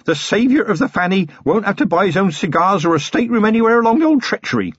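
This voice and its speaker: A man's voice: high-pitched, sing-song, quick pace, a bit bright, husky, dry recording, highs cut off, pitched above the performer's own voice, heavily acted. A man voicing an eager young male police officer, keen and earnest.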